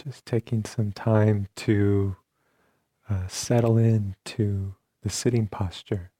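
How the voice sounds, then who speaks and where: Male meditation teacher, low-pitched and very slow, calm delivery with generous pauses. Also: no room sound, clean studio sound. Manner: calm, unhurried, with long pauses between short phrases. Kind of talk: guided meditation instruction.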